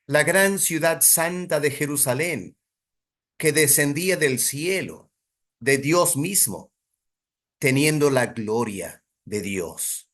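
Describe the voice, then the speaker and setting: A man teaching a Bible study in a deliberate, emphatic sermon style, putting rhetorical weight on his phrases. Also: fairly high pitch, animated, a bit bright.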